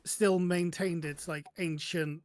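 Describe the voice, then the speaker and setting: A man explaining things casually on a panel podcast, halting often and correcting himself mid-sentence. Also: fairly high pitch, fairly even intonation, dry recording.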